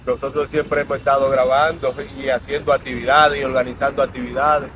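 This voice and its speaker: A man's voice: medium pitch, level tone, brisk, fairly bright tone, rough recording. A man, a guest telling his life story in an earnest, reflective way. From Dominican Republic. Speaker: interview guest. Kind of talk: personal storytelling in an interview. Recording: outdoor traffic noise.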